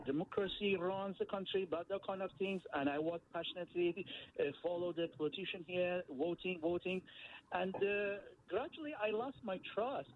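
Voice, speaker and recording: A man from Iran, calling in to a radio show, speaking haltingly and earnestly with many fillers and restarts. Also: on the high side, a little hiss or hum. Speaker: radio phone-in caller. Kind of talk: phone-in call. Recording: tinny phone line on radio.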